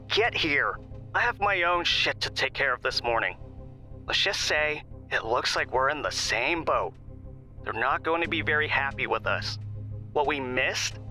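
An actor playing a terse, impatient colleague in an audio drama, giving urgent orders in a curt, commanding manner. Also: male voice, a little high, bright, forceful tone. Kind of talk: audio drama phone dialogue.

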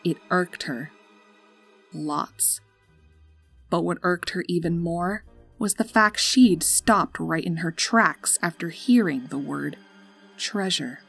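A woman's voice: lowish voice, slow, vocal fry, fairly bright tone, slightly rough. A woman narrating a scripted story as its storyteller. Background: music.

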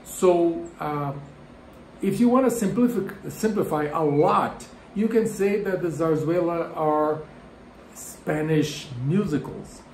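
A man in his fifties from Brazil with a Brazilian Portuguese accent, explaining music terms to the camera with lively gestures and self-deprecating asides. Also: a little high, takes it slowly, a little hiss or hum. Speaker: music educator. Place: home room with bookshelves. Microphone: camera at arm's length. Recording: roomy home room with faint ambience.

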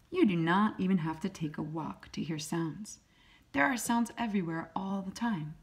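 A woman reading a children's picture book aloud as a teacher, in simple, deliberate short phrases. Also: mid-range voice. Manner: acts out sound effects. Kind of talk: children's storybook read-aloud.

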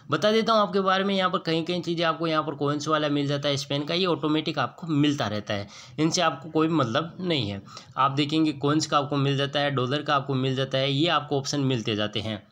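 A man narrating a screen-recorded app walkthrough, explaining step by step with many repeated filler phrases. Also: a little high. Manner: repetitive filler-heavy explaining, steady. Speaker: app reviewer.

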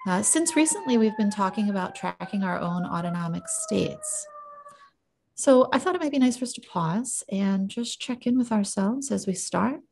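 A woman in her thirties talks calmly as a podcast co-host. Her voice is mellow and relaxed, with noticeable creak. She guides gently, as in conversation. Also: mid-range voice. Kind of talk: calm guided check-in, conversational. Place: indoor office, video call.

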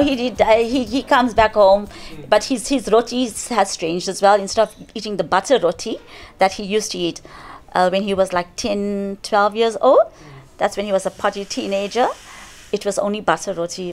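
A middle-aged woman talks in a warm, conversational interview, sitting in an indoor lounge. Her voice sits at a medium pitch, and she speaks in lively bursts, often restarting her phrases and gesturing as she goes. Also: moderate pace, faint music behind. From South Africa. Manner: chatty, animated, with frequent restarts and hand gestures. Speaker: interviewee, cricketer's mother. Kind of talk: casual interview chat.

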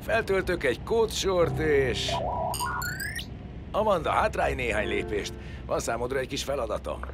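An actor playing a cocky, scheming prisoner in a sci-fi audio drama, sardonic, defiant and foul-mouthed. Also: male voice, mid-range voice, lively intonation, loud music behind the voice, close to an everyday voice. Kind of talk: science fiction audio drama acting.

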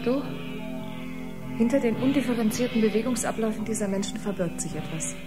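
A woman's voice: a little high, lively intonation, fairly quick, loud music behind the voice, lightly acted. A woman playing a proud, intense musician aboard a spaceship in a radio play, speaking emphatically. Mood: eager, insistent. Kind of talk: German radio play acting.

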